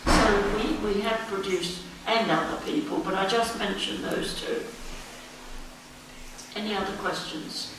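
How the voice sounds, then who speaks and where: Slow, gracious woman in an echoey hall. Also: medium pitch, steady tone. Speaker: guest speaker at a school. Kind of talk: talk and Q&A with schoolchildren. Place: school hall. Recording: echoey hall from far off, background noise.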